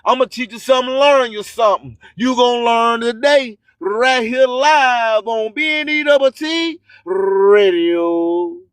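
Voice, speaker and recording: A man's voice: very high voice, measured pace, few pauses, a bit bright, clear, resonant tone, no echo, clean studio sound. An American man hosting a radio show, preaching spiritual motivation in a declamatory, repetitive, rhyming delivery. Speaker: radio host.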